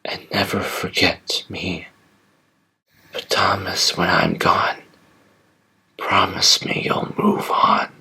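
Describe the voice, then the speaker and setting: A man voicing a gentle, loyal small male engine who is dying, speaking in weak, slow, breathy whispers. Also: sits low, level tone, heavily acted. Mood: tender. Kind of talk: fan audio drama acting.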